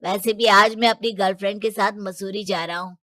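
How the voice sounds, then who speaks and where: A woman's voice: medium pitch, fairly even intonation, brisk, bright, forceful tone, no room sound, clean studio sound, lightly acted. A woman voicing a breezy, selfish rich young man, nonchalant and dismissive, in a cartoon story. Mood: careless.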